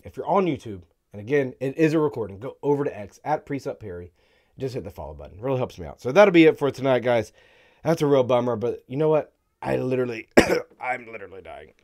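A man in his thirties hosting a crypto live stream, hoarse and losing his voice, talking bluntly with wry laughs into a studio mic. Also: mid-range voice, warm tone. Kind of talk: casual opinionated commentary. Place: dark studio room, indoors. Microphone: studio mic on stand in front.

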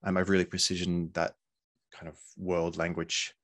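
A man in his forties from Poland, talking over a video call in a hesitant, thoughtful way with many pauses. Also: low voice, monotone, no room sound. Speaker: artist. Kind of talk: casual interview. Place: home room, video call.

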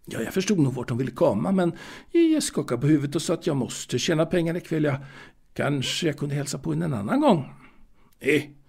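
Man acting a hesitant young sweet-seller, gravelly and husky. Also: fairly high pitch, sing-song, darkish tone, full character voice. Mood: polite, guarded. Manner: hesitant, repeating words. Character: proud, naive young male confectionery seller reminiscing. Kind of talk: intimate audio drama monologue.

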